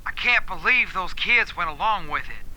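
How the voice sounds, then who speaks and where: A man's voice: sits high, animated, bright, forceful tone, exaggerated voice, poor audio. A man playing a confused, loyal prisoner under questioning in an audio drama, speaking hesitantly. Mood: earnest, regretful. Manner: halting, hesitant. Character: confused, guilt-laden prisoner loyally covering for friends. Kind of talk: post-apocalyptic audio drama acting.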